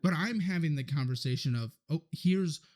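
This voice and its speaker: A man's voice: a little high, moderate pace, a little mellow. A man guesting on a podcast, talking loosely with lots of fillers about his financial show. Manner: loose, filler-heavy talk. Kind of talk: podcast conversation.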